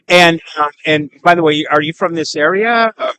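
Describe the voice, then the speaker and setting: A male podcast host interviewing a guest outdoors at a market. His voice is fairly high and bright, and he keeps the chat moving with short, eager reactions. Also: normal speed, clean tone, no room sound, poor audio. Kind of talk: casual interview.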